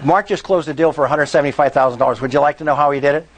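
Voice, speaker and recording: A man's voice: a little high, very fast. A man hosting a real estate meetup, explaining to a live audience and often checking in with "right?" and "okay?". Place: meeting room.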